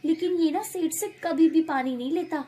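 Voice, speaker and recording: A woman voicing a scheming, envious village farm woman gossiping in an animated moral story. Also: extremely high pitch, normal speed, voice pushed up.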